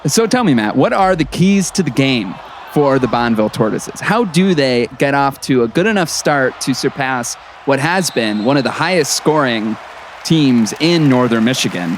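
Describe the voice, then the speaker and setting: An upbeat small-town basketball broadcaster, acted by a man in a radio comedy drama. He speaks in an earnest announcer's delivery at a middling pitch. A busy crowd and clear background noise surround him. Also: lively intonation, normal speed, close to an everyday voice. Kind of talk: sports radio broadcast commentary. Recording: noisy gym crowd ambience behind.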